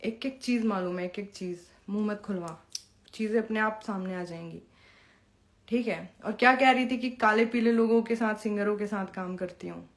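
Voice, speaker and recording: A woman's voice: mid-range voice, normal speed. A young woman in an informal live-stream monologue, confrontational and taunting, mixing in English phrases. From Bihar, India. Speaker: Bhojpuri performer addressing followers on a live stream.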